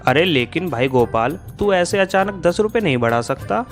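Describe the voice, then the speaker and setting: A man's voice: fairly high pitch, animated, moderate pace. A man playing a suspicious, grumbling male customer in a Hindi cartoon tale, insistent and accusing in manner. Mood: indignant. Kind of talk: Hindi moral-story cartoon dialogue. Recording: music behind the voice.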